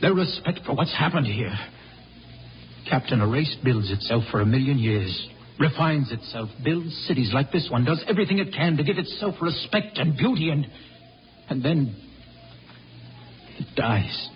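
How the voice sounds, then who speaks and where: An American actor playing a grave, idealistic and bitterly ashamed spaceman in 1950s radio drama, speaking haltingly and with passion. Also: mid-range voice, animated, slight background noise, lightly acted. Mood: bitter, impassioned. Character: idealistic, brooding spaceman, bitterly ashamed of his own kind. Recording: muffled old radio recording, faint music.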